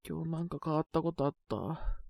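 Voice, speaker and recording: A man playing a sick, stubborn but shy teenage boy in an intimate Japanese audio drama, speaking in a halting, casual, congested mumble. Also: a little high, expressive, vocal fry, a little mellow, no room sound, clean studio sound. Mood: startled, grumbling. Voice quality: congested cold-sick voice with coughs.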